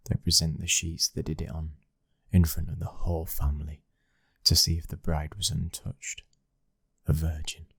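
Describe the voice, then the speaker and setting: A British man playing a commanding young mafia heir in an intimate ASMR roleplay, speaking in a measured, breathy voice that often drops toward a whisper. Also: sits low, no echo, full character voice. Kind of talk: ASMR boyfriend roleplay, scripted acting.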